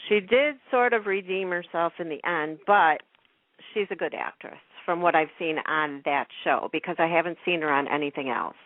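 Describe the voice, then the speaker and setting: A woman's voice: on the low side, normal speed, no room sound. A woman chatting casually as a panelist on a radio show about television. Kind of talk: casual chat about TV soaps. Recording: thin phone-like radio line.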